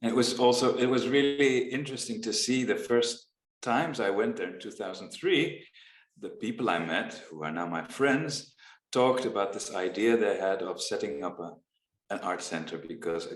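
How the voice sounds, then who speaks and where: A man with a lowish voice reflects at an easy pace over a video call. He pauses often to find his words. His voice carries the echo of the room he is in. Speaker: foundation founder in conversation. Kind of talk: casual video-call conversation.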